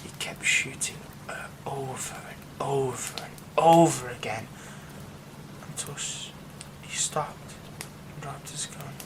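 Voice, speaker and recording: A man's voice: mid-range voice, close to an everyday voice, low-quality recording. A man playing a haunted young werewolf. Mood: nervous, haunted. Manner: hushed, halting, often whispered. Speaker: ASMR roleplay performer. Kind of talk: ASMR horror roleplay.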